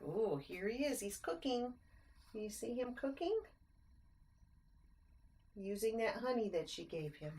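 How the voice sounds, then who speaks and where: A woman reading a rhyming picture book aloud to children, expressive, with chatty explanatory asides and simple questions for the listeners. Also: a little high, unhurried, pauses often, slight background noise.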